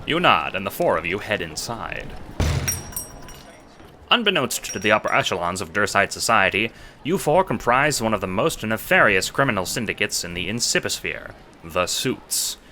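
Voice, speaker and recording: A man's voice: fairly low pitch, sing-song, normal speed, pushed and bright, faint music behind. A man narrating a scripted audio drama in the second person, with dramatic storytelling delivery.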